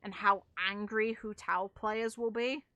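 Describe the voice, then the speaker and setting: A woman's voice: mid-range voice, fairly even intonation, unhurried, sharp tone, no room sound, muffled recording. A woman doing casual gaming commentary as a streamer, rambling and opinionated with some casual swearing. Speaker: game streamer.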